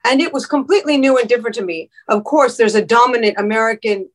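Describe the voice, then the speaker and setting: A woman's voice: fairly high pitch, fast. A woman from Miami, a guest actress in an interview, explaining her points earnestly. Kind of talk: conversational interview.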